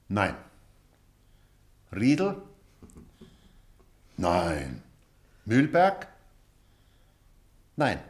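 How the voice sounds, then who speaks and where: An actor playing an elderly retired schoolmaster in a drama, pointed and deliberate, stern but tender toward a former pupil. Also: male voice, mid-range voice. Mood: probing, schoolmasterly. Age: older. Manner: deliberate, pointed, rhetorical repetition. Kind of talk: theatrical radio play dialogue.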